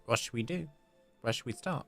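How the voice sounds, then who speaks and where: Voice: medium pitch, animated, brisk, gravelly, pushed and bright. A live streamer giving casual, exclamatory commentary while playing a game. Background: game music.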